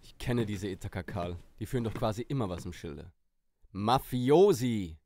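A man's voice: lowish voice, animated, moderate pace, mellow, a little hiss or hum. Man as a bossy, smug teen leader. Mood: threatening. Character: bossy, aggressive, smug teenage boy leader.

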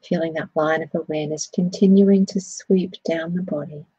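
A woman leading a guided body scan meditation, speaking in a soothing, gentle way with unhurried phrasing. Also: low-pitched, fairly even intonation, slightly rough, muffled recording.

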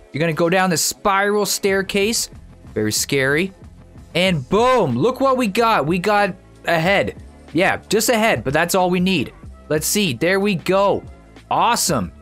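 Male gaming host, high-pitched voice, conversational and viewer-directed, music underneath. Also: fairly bright tone. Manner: upbeat greetings, chatty, addresses viewers directly. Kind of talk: casual gameplay commentary.

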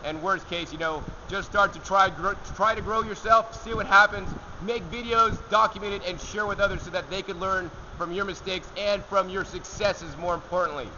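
A young man hosting a gardening show, answering viewer questions to camera outdoors in a rock alcove, energetic and gesturing as he talks. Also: high voice, normal speed, hardly any pauses, muffled recording, a little hiss or hum. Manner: energetic, talks with his hands.

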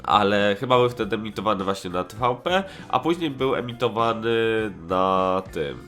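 A man's voice: on the low side, animated, moderate pace, sharp tone. A man giving a casual, rambling review, hesitant and slangy, often correcting himself. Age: 20s. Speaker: host of a nostalgic cartoon review show. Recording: music playing behind the voice.

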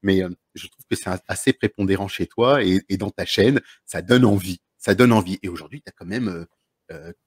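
A chatty man in his thirties hosts a live stream from a room at home, speaking into a large desk microphone. His voice sits low and has a breathy, husky edge. The sound is dry and close, with no room echo. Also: normal speed. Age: 30s. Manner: chatty, encouraging, repeats himself. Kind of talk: casual live video chat.

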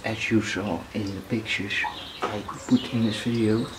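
A young man exploring a dark abandoned house, talking quietly and haltingly into his handheld camera as he shows the place. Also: lowish voice, fairly even intonation, very noisy. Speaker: urban explorer vlogger. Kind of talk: hushed walkthrough narration.

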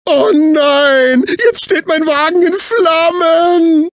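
A man's voice: very high voice, slow, a bit bright, dry recording, studio quality, heavily acted. A male actor voicing a fussy, snobbish, servile executive assistant in a dubbed cartoon video game, with a prissy, condescending, exclamatory delivery. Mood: distressed, whiny. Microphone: close studio microphone.

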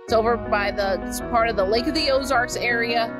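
A woman's voice: fairly high pitch, brisk, over music. A woman in her fifties from Missouri, chatting earnestly to her phone camera as she walks through winter woods. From Missouri, USA. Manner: chatty, earnest storytelling while hiking. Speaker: vlog host. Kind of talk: casual walking vlog. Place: outdoors in winter woods by a creek. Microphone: phone held at arm's length.

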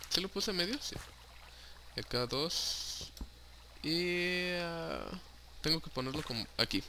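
A man's voice: fairly high pitch, lively intonation, takes it slowly, background noise. A young man with a Mexican Spanish accent, chatting casually and joking as he narrates his own Minecraft play, swearing freely and dropping in English words. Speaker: gaming YouTuber.

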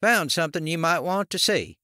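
A man voicing a savvy paramedic in his late fifties, brisk, matter-of-fact and wry, in an audiobook. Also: fairly high pitch, lively intonation, bright, forceful tone, dry recording, clean studio sound, voice pushed up. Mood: helpful. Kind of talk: audiobook character dialogue.

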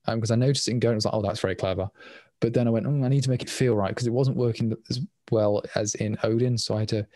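A man's voice: fairly low pitch, fairly even intonation, mellow, no room sound. Man answering questions, dry sound. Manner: quick, rambling, frequent restarts. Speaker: language designer presenting at a meetup. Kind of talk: casual Q&A answers. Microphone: webcam on a video call.

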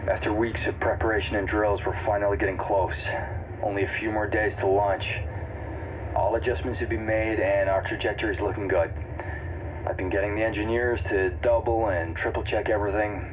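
A man's voice: fairly low pitch, steady tone, fairly quick, background noise, close to an everyday voice. A man acting a weary starship chief engineer, recording a reflective, subdued personal log in an audio drama. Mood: nervous, subdued.

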